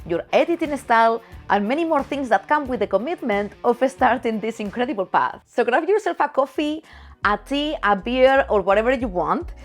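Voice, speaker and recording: Frank Spanish-accented woman in her thirties, chatty vlogger who laughs easily, faint music behind. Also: on the high side. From Spain. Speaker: photography youtuber. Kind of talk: casual direct-to-camera talk.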